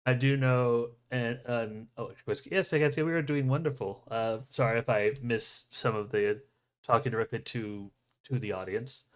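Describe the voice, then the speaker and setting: A man's voice: mid-range voice, steady tone, normal speed. A man hosting a livestream with casual movie news chat, joking and swearing freely. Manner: jokey, profane. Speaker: show host.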